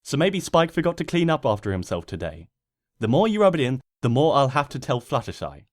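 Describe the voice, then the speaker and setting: A man's voice: mid-range voice, big pitch swings, brisk, no room sound, studio quality, close to an everyday voice. A man voicing an irritated, stubborn young female pony heroine in a comic storybook, speaking in an exasperated, indignant manner. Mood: grumbling, exasperated.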